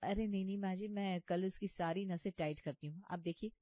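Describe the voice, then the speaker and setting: Woman playing a thrifty, animated daughter-in-law. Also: medium pitch, brisk, dry recording, highs cut off, close to an everyday voice. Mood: eager, defensive. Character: thrifty, eager-to-please daughter-in-law, sharp with staff. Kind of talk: comic cartoon story voice acting.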